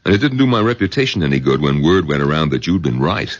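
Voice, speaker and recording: A skeptical doctor, very low and husky, with pitch that swings widely in wry exasperation. It is 1970s radio acting, close and dry on a muffled old broadcast. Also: male voice, very animated, fast, no echo, heavily acted. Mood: exasperated. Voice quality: gravelly, husky. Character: skeptical, exasperated male doctor, the old man's son-in-law. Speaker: narrator and doctor character.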